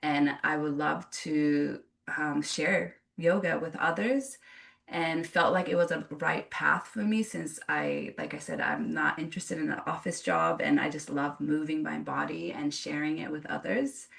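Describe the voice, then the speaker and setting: A woman's voice: low voice, a little slow, vocal fry, fairly bright tone, far from the mic. A woman who grew up in Japan, a yoga teacher telling her story in an interview, earnest and reflective with frequent restarts.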